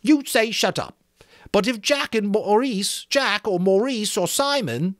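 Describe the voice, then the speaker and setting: A man acting out a high-pitched, whining schoolboy from an audiobook novel. He sounds wronged and anxious, nagging about rules in rough grammar, his voice swinging up and pressing loud with indignation. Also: animated, measured pace, dry recording, studio quality. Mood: indignant whining. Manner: indignant, hurt, reproachful, ungrammatical. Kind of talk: dramatic audiobook reading.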